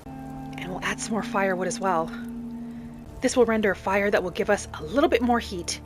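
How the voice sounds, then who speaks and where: A woman's voice: medium pitch, normal speed, lightly acted. Woman playing a thoughtful wood-elf adventurer, music behind. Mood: eager, pleased. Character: female Bosmer wood-elf adventurer, gentle, earnest, proud of her ways. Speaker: roleplay game player narrating in character. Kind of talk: in-character roleplay narration.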